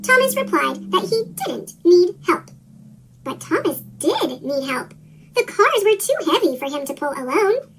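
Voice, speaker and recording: A woman reading a children's story aloud as scripted narration, her voice sped up with a chipmunk pitch-shift effect. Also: big pitch swings, a little slow, a bit bright.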